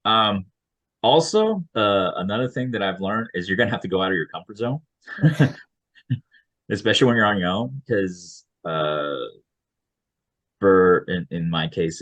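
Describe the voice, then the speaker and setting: A man's voice: sits low, fairly bright tone, highs cut off. A man from the United States, a graduate student researcher, talking casually on a video call with many restarts and little chuckles. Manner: rambling, frequent restarts, chuckles. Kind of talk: casual panel chat.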